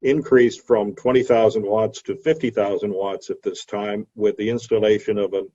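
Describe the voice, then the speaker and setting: A man giving an illustrated history lecture over a video call, explaining in a measured way with frequent hesitant pauses. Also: on the low side, fairly even intonation, mellow. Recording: dull, lo-fi video call audio.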